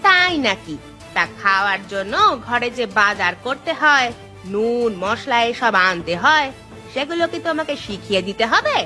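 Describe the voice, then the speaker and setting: A woman acting a bright-voiced, bossy village wife. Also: a little high, big pitch swings, moderate pace, soft background music. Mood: scolding. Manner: scolding, challenging. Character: sharp-tongued, bossy village wife, boastful and quarrelsome. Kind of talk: Bengali cartoon dialogue dubbing.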